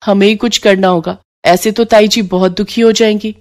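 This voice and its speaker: A woman's voice: medium pitch, fairly even intonation, normal speed, darkish tone, lightly acted. A woman voicing a dutiful, crafty young daughter-in-law in a cartoon story. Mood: concerned.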